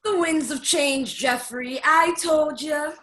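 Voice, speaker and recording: A woman's voice: high voice, slow pace, a bit bright. A woman playing a sassy, blunt fairy-tale rebel, conversational, in a comic radio play. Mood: bold.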